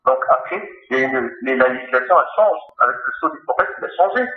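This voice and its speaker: A rather low-voiced man debates religion off the cuff on a call. He explains haltingly, circling back over his phrases. The sound is muffled and clearly echoey. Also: lively intonation. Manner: hesitant, halting, repeats phrases while explaining. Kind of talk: impromptu religious discussion on a call. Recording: dull, echoey phone-call recording.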